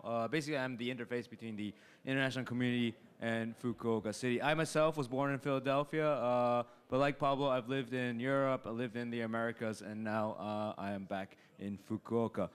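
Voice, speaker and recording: A man's voice: medium pitch, smooth. A man speaking on a live panel at a hotel event stage, composed with formal phrasing. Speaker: city program director, panel speaker.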